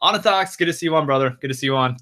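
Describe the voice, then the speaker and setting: A man's voice: on the high side, rapid-fire, pushed and bright. An American man hosting a casual live stream, talking straight to his viewers and reading out their chat comments in a loose, rambling way with many restarts.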